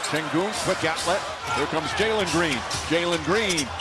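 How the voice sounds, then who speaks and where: Male basketball announcer over very loud arena noise. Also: fairly high pitch, moderate pace. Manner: clipped phrases following the action. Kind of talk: live sports commentary. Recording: very noisy arena broadcast relayed on stream.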